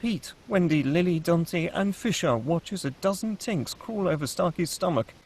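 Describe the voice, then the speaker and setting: A man narrates a script at a table read, reading stage directions. His voice sits a little high for a man, with a husky, unclear edge. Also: lively intonation, moderate pace, a little mellow.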